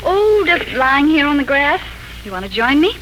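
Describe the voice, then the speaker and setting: An actress playing a breezy, wry grown daughter and aunt in a 1940s radio family serial. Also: female voice, sits high, big pitch swings, moderate pace, fairly bright tone. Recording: noisy 1940s radio recording.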